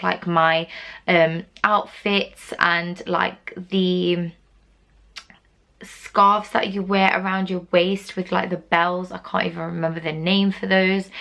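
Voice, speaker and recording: A young woman from Britain with a croaky voice, chatting casually to her camera at home and rambling with lots of likes and ums. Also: mid-range voice, a bit bright. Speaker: YouTuber.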